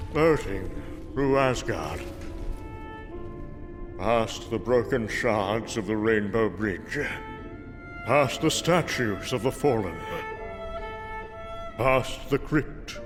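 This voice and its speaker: A man performs as an aged Norse god, the weary last king of ruins. He speaks very slowly and gravely in this comic book drama. His voice rings through long hall reverb, with prominent bowed strings underneath. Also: medium pitch. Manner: slow, solemn, grave. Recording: long hall reverb over loud music. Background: bowed string music.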